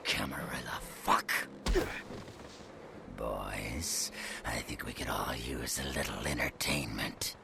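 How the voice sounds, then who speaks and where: A sadistic vampire gang boss from a video game, with a bottomless, gravelly voice. He speaks slowly and theatrically, full of menace and taunts, with clear noise behind him. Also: extremely low pitch, full character voice. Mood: gloating menace.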